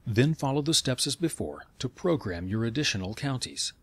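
Husky male instructional narrator, lively intonation. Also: mid-range voice, moderate pace.

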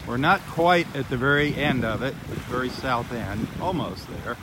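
Male outdoor vlog host, mid-pitched, easygoing and chatty, handheld phone audio with heavy water noise. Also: animated, normal speed. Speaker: host of a live beach walk. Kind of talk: casual live nature vlog narration. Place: outdoors on a sandbar at a beach. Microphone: handheld phone camera.

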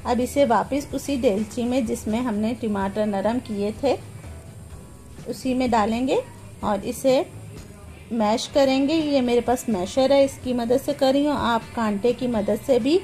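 A woman with a slightly high voice walks the viewer through a recipe from her home kitchen. Her delivery is plain and homely, with each step explained patiently. Soft music plays faintly under her voice. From Pakistan. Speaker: cooking channel host. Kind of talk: step-by-step cooking instruction.

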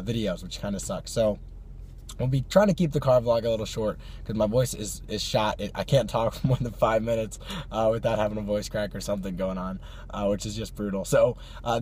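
A hoarse young man with a cold, chatting casually to camera from his parked car at night, with many fillers and small laughs. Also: on the low side, dark tone, a little hiss or hum. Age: young adult. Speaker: vlog host. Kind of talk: casual car vlog chat. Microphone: phone or camera on the dashboard.

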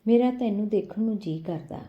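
A woman's voice: mid-range voice, brisk, highs cut off, natural voice. A woman voicing an affectionate, pleading young husband in an audio story.

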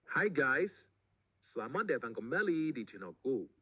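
A man's voice: medium pitch, sing-song, unhurried, pushed and bright, husky, heavily acted. A man's AI voice-changed delivery of a channel greeting, with an English-tinged accent.

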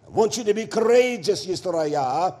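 A male preacher with a strikingly high-pitched voice delivers a fiery scripture sermon. He is stern and combative, exhorting his listeners and hammering key words by repeating them. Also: expressive, moderate pace, warm tone. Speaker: preacher and Torah teacher. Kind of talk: fiery sermon with verse-by-verse exposition.

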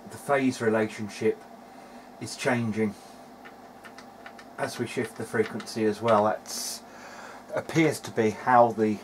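Male hobbyist presenter, lowish pitch, casual and hesitant technical walkthrough, slight background noise. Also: low-quality recording. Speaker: hobbyist presenter of an electronics repair video diary. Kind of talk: casual technical narration while demonstrating.

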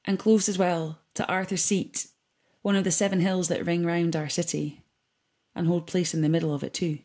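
A woman reading a scripted, reflective piece with poetry for a community gathering in a measured, earnest way. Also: fairly low pitch, steady tone, mellow.

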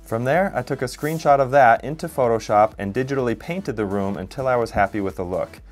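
A man's voice: medium pitch, a little fast. A man in his thirties, host of a DIY channel, narrating his build in an upbeat, self-deprecating way. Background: faint background music.

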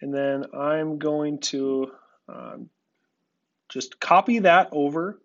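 A man giving a relaxed, conversational screen-recorded walkthrough of farm software, with frequent filler words. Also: fairly high pitch, slow, highs cut off. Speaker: software company representative. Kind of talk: screen-recorded product demo.

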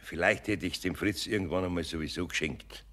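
An actor playing a patient, good-natured older Bavarian master craftsman in a children's radio play, with a gravelly, husky voice. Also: low-pitched, brisk, a bit bright, a little hiss or hum. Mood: gently disapproving.

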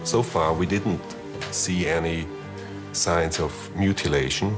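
A man's voice: sits low, slow pace, music in the background. A man giving unscripted expert answers in an interview.

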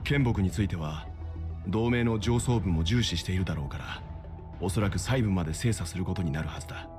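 An actor playing a calm, prudent middle-aged traveller in a game, polite, serious and reserved. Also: male voice, sits low, a little fast, over music, natural voice. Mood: calm, cautious. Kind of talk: scripted game character dialogue in Japanese dub.

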